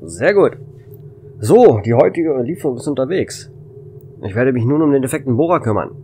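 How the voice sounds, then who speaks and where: A man's voice: medium pitch, big pitch swings, normal speed, mellow. A man playing a wry, easygoing space station worker in a German radio play, with a casual, teasing manner. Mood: focused. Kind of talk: amateur radio drama acting. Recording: faint noise and hum.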